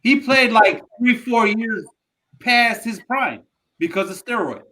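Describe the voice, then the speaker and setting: A man's voice: extremely high pitch, lively intonation, slow pace, bright, forceful tone, poor audio. A man co-hosting a casual sports podcast, talking bluntly and emphatically with plenty of swearing. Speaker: podcast co-host.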